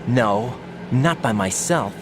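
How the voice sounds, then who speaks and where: Earnest, animated young rookie agent. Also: male voice, mid-range voice, takes it slowly, noisy background. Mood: frustrated. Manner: earnest, questioning, terse. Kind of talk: video game voice acting, dramatic.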